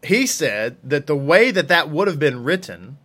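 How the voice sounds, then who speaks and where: A man with a fairly high, bright and forceful voice teaches scripture informally. He speaks as a religious teacher, leaning on key phrases and repeating them to drive the point home. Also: big pitch swings, measured pace, breathy edge. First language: English. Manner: emphatic, repeats phrases for emphasis.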